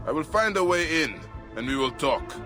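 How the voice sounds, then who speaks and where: A man playing a stern, determined investigator in a video game, speaking tersely and deliberately. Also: a little high, animated, natural voice. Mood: firm, confronting. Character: stern, determined male investigator hunting a relic thief. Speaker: game protagonist. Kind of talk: dramatic video game acting. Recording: music behind the voice. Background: cinematic game music.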